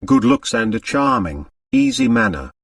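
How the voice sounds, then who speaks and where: A man acting a gruff, bitter American millionaire. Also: mid-range voice, huge pitch swings, moderate pace, a bit bright, no room sound, clean studio sound, recorded from a distance, full character voice. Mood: savage. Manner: gruff, emphatic, plain-spoken. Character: rich blunt American father, bitter and angry.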